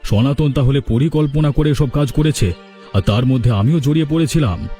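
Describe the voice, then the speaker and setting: Indignant village headman for cartoon, male actor, authoritative, emphatic, medium pitch, music bed. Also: moderate pace, dark tone, lightly acted. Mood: shocked. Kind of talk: cartoon voice acting in a village drama.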